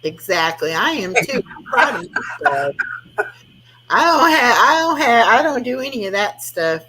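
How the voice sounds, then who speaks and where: A woman in her sixties hosting a casual online chat, chatty and quick to laugh, often trailing off mid-sentence. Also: on the high side, big pitch swings, pushed and bright, a little hiss or hum, rough recording. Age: 60s. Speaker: host of an online chat room.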